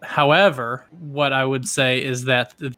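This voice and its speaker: Podcast co-host, man from the American South, talkative and joking, bright voice. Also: medium pitch, fairly even intonation, a little slow, no echo. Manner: joking, chatty, explains at length. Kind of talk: casual podcast chat.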